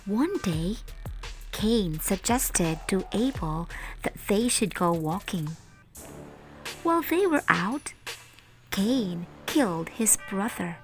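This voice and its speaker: A female teacher tells a children's Bible story at a very unhurried pace. She speaks straight to young listeners in deliberate phrases, over faint music. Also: mid-range voice, lively intonation.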